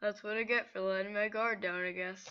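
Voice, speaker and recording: A woman voicing a laid-back, gently weary skeleton brother in an amateur comic dub, casual and halting. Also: medium pitch, fairly even intonation, clean tone, dull audio, natural voice. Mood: wry.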